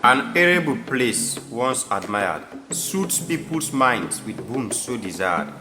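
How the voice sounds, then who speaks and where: A young man reads a scripted biographical narration for an audio drama in a measured, formal storytelling way. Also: medium pitch, big pitch swings. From Sierra Leone. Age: young adult. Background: music.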